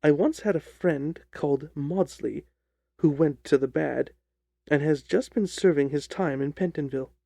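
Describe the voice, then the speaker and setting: A man narrating a story as an audiobook reader, in a measured, deliberate, dramatic style. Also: on the high side, vocal fry, dark tone, no echo.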